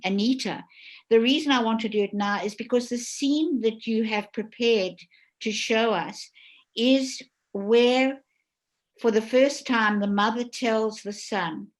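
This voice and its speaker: A woman's voice: on the high side, poor audio. A woman moderating a live webinar informally, chatty and digressive, often correcting herself as she goes. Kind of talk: informal live panel hosting.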